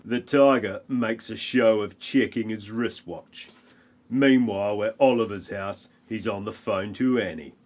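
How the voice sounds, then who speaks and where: Slow-paced man narrating with mockery. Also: fairly low pitch, darkish tone. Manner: mocking, wry. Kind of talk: comic parody audio play narration.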